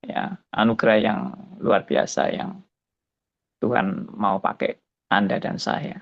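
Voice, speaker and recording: A pastor in his seventies giving a slow, musing spoken testimony, often tagging his phrases with a soft "ya". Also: lowish voice, flat delivery, gravelly, highs cut off. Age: 70s.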